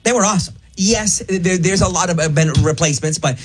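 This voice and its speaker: A man hosting a radio show, reading listener texts and rambling with halting restarts. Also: high-pitched, husky, faint music behind. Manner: rambling, halting, lots of fillers. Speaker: radio host. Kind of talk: casual radio chat.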